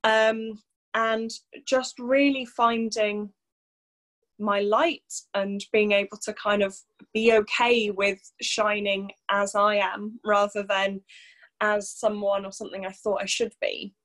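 A woman's voice: medium pitch, level tone, slow pace, a bit bright. A woman in her thirties from North Wales, a coach, talking openly and reflectively as a podcast guest, with many fillers. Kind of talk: casual interview chat.

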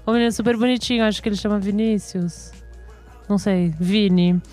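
A woman's voice: medium pitch, fairly even intonation, fairly quick, music in the background. A woman with a São Paulo accent co-hosting in casual chat, gushing and slangy. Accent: Paulista (São Paulo, Brazil). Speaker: podcast co-host.

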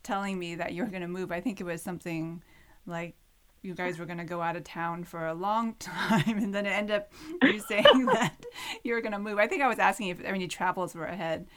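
A woman's voice: fairly low pitch, brisk, fairly bright tone. A woman hosting a podcast, delivering narration and interview questions.

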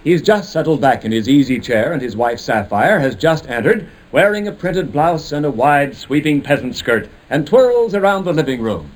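A man's voice: on the high side, big pitch swings, normal speed, hardly any pauses, rough recording. A man announcing and narrating a comedy programme in scripted 1950s radio style. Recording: old radio broadcast, loud music behind. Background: music.

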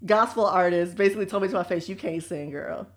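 Woman, casual chat, animated storyteller, laughs often. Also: on the low side, quick pace.

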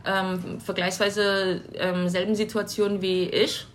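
Woman in her twenties, faint rumble. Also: slow. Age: 20s. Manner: relaxed, laughs lightly, some fillers. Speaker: interview guest. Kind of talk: casual interview chat. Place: her home in a city flat.

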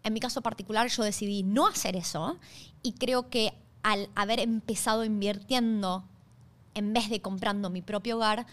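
A woman's voice: medium pitch, steady tone, normal speed, fairly bright tone. A woman with an Argentine accent hosting a podcast, talking conversationally in an explanatory way and checking in with listeners. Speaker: podcast host.

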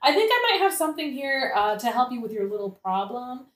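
A woman's voice: on the high side, sing-song, fairly quick, a bit bright. A woman playing a crazed, drunken young woman in a satirical audio play, rambling and cajoling like a showbiz agent. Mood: manic, cajoling. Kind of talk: satirical modern-language audio play acting. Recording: roomy, echoing audio-play recording.

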